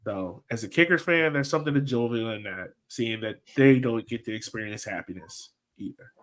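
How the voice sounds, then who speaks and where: A man's voice: mid-range voice, normal speed, fairly bright tone. A man hosting a casual sports podcast chat, easygoing and slangy, often repeating phrases for emphasis. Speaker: podcast host.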